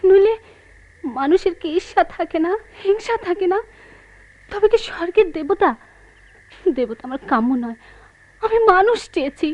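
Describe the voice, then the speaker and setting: A woman's voice: high-pitched, a little mellow, muffled recording. An actress playing a proud, tormented married woman in a Bengali radio drama, with theatrical, emotionally charged delivery.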